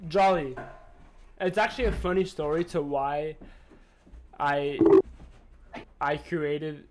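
A man's voice: on the high side, fairly even intonation, slow pace, heavy background noise. A man co-hosting a casual podcast, rambling and swearing freely in loose chat. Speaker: podcast co-host.

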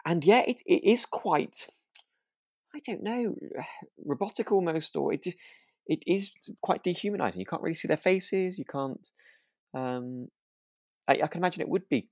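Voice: mid-range voice, animated, moderate pace, a little mellow, no echo. Tentative podcast co-host, closely recorded and dry. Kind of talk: casual chat.

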